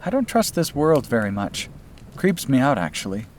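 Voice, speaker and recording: A man's voice: mid-range voice, big pitch swings, normal speed, slight background noise, pitched above the performer's own voice, close to an everyday voice. A man voicing a caring, slightly sardonic young woman in a narrated story. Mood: gentle, uneasy. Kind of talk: audiobook-style character dialogue.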